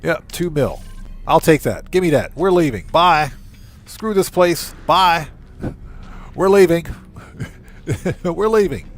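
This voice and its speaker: Man, game livestream host, fairly high voice, very lively intonation, casual commentary, exclamatory, repeats short phrases. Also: slow pace, pauses often, faint music behind.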